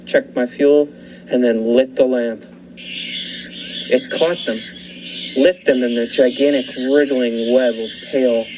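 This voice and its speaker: A young man voicing a lighthouse keeper who narrates a suspenseful radio drama in a measured, dramatic way with lingering pauses. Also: medium pitch, close to an everyday voice. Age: young adult. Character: male lighthouse keeper recounting a nightmare. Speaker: student actor. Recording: muffled with faint hiss.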